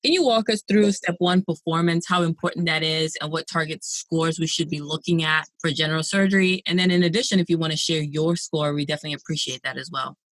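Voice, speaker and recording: A woman's voice: low-pitched, fast, few pauses, fairly bright tone, no room sound, poor audio. A woman in her forties hosting a podcast, upbeat and encouraging as she introduces and questions her guest. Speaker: podcast host. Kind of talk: podcast interview and scripted intro.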